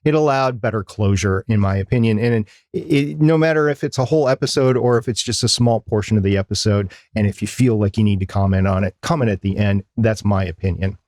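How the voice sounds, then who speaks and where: A man's voice: lowish voice, brisk, hardly any pauses, darkish tone, dry recording, studio quality. A man hosting a podcast, giving advice in a semi-scripted style. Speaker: podcast host.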